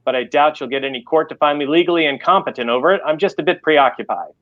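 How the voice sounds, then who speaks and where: A man's voice: a little high, fast, close to an everyday voice. A very old, irritable tycoon played by a man reading aloud in a science fiction story. He is stubborn and grumbles petulantly. The recording is slightly dull. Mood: defensive.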